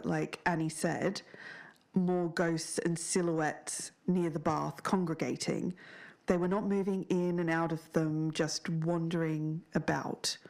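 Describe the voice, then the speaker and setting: An actress as a thoughtful woman recalling something uncanny for a podcast drama. She speaks slowly and hesitantly, with a low-set voice full of creak. Her delivery is understated and reflective. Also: darkish tone, full character voice. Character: thoughtful woman recounting a strange otherworldly experience. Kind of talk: halting first-person recollection in interview format.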